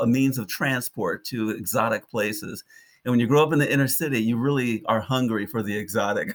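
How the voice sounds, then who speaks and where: An older man from Detroit reflects on his life as an interview guest. His voice sits at an ordinary middle pitch, and he tells his stories with vivid, lyrical phrasing and frequent restarts. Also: gravelly, fairly bright tone. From Detroit, United States. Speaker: park ranger, podcast guest.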